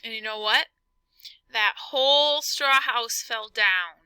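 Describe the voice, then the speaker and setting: A woman's voice: fairly high pitch, slow pace, bright, forceful tone, clean tone, dry recording, full character voice. A woman reading a storybook as a male wolf who tells his side in a conversational, mock-sincere way. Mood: mock-innocent. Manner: conversational, mock-sincere, addresses listener directly. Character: self-justifying male storybook wolf, folksy, playing innocent. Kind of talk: expressive read-aloud storytelling.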